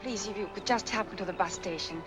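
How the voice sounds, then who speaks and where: A woman's voice: medium pitch, quick pace, natural voice. An actress playing a mysterious, polite Frenchwoman with a French accent and a pleading manner in a 1940s film noir. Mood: anxious, pleading. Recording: 1940s film soundtrack, music underneath.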